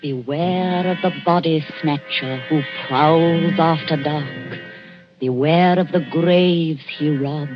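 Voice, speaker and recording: An actor voicing an ominous, portentous warning in a grim 1940s radio horror tale. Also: male voice, a little high. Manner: slow, portentous. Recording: dull old 1940s radio recording with music.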